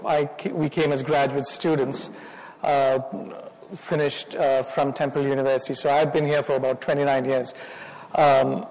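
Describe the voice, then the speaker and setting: A middle-aged man from India with an Indian accent gives a modest, halting church talk full of fillers. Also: medium pitch, little pitch movement, darkish tone. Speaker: guest speaker. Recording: hall reverb.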